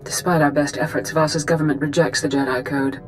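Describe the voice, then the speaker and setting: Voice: medium pitch, fairly even intonation, fairly quick, soft background music, close to an everyday voice. Grave, commanding Jedi master voiced by an actor. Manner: formal, solemn, commanding. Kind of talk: video game voice acting.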